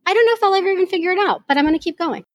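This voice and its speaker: A woman's voice: extremely high pitch, very fast, dry recording, studio quality. A woman life coach and podcast host talking candidly and reflectively about her path, answering questions in a live audio chat.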